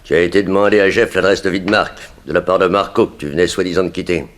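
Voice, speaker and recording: A man's voice: deep voice, steady tone, fast talker, natural voice. A man playing a vengeful, sneering gangster in a 1960s French radio crime drama, mocking and threatening. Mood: cold, accusing. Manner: mocking, threatening, mock-sweet. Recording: 1960s radio recording, light noise.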